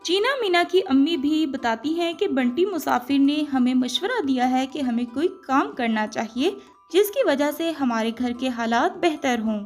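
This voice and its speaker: A woman's voice: high-pitched, expressive, moderate pace, soft background music. A woman reading scripted storybook narration for a children's cartoon, as the storyteller.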